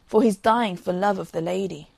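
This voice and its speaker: A woman's voice: medium pitch, normal speed, creaky, darkish tone, no echo. Woman reading as a homely household cook. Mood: plain, uneasy. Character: plain-spoken household cook, a servant woman.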